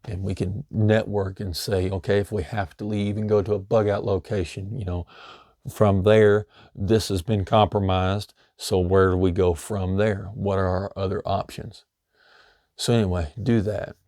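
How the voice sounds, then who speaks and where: A middle-aged man, slightly hoarse, giving a loose, conversational how-to talk full of "you know" fillers. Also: low voice, flat delivery, normal speed, darkish tone, dry recording, studio quality. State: slightly hoarse, losing his voice. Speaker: homesteading channel host. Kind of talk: casual instructional talk.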